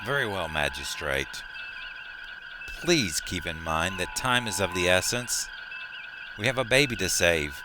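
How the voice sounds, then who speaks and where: A man's voice: sits low, lively intonation, a little slow, pauses often, noisy background. A man playing a firm, earnest starship captain in a sci-fi audio drama. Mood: formal, pressing. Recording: music bed behind the voice.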